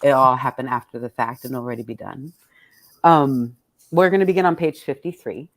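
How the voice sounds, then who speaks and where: A woman's voice: very deep voice, normal speed, dry recording. A woman speaking as a poet and host, casually and candidly introducing a poetry reading, salty-tongued and thoughtful as she searches for words.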